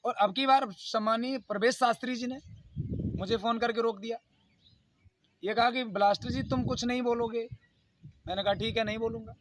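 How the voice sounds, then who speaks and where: High-pitched, insistent man. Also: fairly even intonation, moderate pace, pauses often, rough recording. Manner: aggrieved, insistent, repetitive pleading. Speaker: YouTube channel host. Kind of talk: unscripted video statement responding to a dispute.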